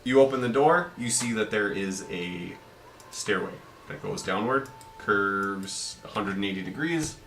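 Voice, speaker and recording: A man's voice: fairly low pitch, lively intonation, measured pace, fairly bright tone. A man acting as game master at a home tabletop game, narrating scenes and answering his players. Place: indoor room, around a home game table. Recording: roomy, distant mic, faint noise.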